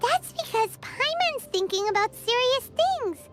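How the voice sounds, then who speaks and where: Tiny whiny fairy mascot from a game, super high n squeaky, takes its time talking, music behind. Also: female voice, big pitch swings, a little slow, full character voice. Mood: earnest. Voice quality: squeaky, childlike. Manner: exclamatory, refers to self in third person. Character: tiny childlike floating fairy companion, excitable, greedy, whiny. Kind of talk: video game character dialogue.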